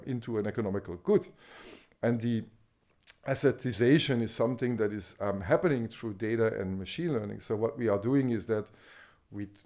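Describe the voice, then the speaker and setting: Belgian-born man, middle-aged speaker, low pitch, hesitant with frequent fillers. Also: mellow. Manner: hesitant, many ums and restarts. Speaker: speaker on medical AI. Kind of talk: conference talk.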